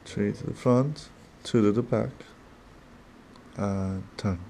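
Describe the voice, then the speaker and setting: A man with a non-native English accent talks slowly in a low, mellow voice while he opens card packs at his desk. His speech is halting and chatty, with restarts and little laughs, and a faint room hum sits behind him. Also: creaky. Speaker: card-opening channel host. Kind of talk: casual card-opening commentary.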